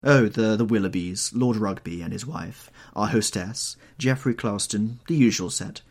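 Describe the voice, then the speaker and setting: A man voicing a tired, subdued young gentleman in an audiobook. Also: fairly low pitch, moderate pace, voice pushed up. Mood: weary. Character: young weary handsome gentleman.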